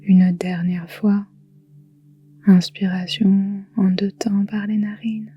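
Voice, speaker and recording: A woman guiding a relaxation meditation in a soft, whispery, calm and soothing voice, leaving long pauses. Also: medium pitch, highs cut off, soft background music. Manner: soft, breathy, whispery delivery. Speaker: yoga nidra guide.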